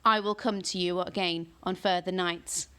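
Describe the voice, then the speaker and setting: A woman reading aloud, voicing a huge ancient male tree monster with slow, booming declamation. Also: steady tone, a bit bright, smooth, close to an everyday voice.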